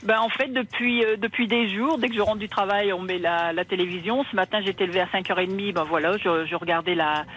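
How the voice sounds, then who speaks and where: Lady in her 50s calling in to the radio, from northern france, halting and emotional. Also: mid-range voice, fairly even intonation, a bit bright, slight background noise. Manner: emotional, halting, repeats words. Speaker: caller. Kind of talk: call-in radio chat.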